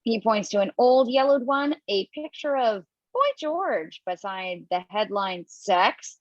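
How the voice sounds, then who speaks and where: A woman reading the stage directions in an amateur table read, brisk and quick to laugh at her own slips. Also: medium pitch, expressive, dry recording, dull audio. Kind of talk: amateur table read over video call.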